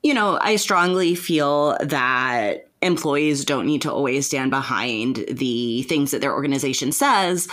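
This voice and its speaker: A woman's voice: a bass voice, expressive, unhurried, few pauses, fairly bright tone. A woman hosting a casual podcast discussion, giving opinions in an earnest, deliberating way. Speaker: podcast host.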